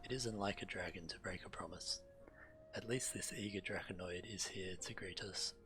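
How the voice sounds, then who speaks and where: A man streaming a game, reading quest text aloud in a measured way, now and then stumbling and correcting himself. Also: deep voice. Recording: game music behind the voice. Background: video game music.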